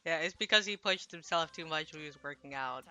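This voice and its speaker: A woman's voice: low voice, brisk, bright, forceful tone. A woman streaming a visual novel, reading translated dialogue aloud and adding casual, slangy asides. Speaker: game streamer.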